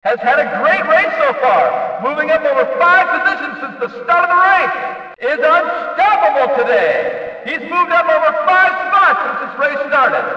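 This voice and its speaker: An excitable male race announcer for a racing video game. He pitches his voice very high and drives each call out with showy, emphatic force. It sounds distant from the mic, in a strongly echoing space. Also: muffled recording, full character voice. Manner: emphatic, showy, stretched-out delivery.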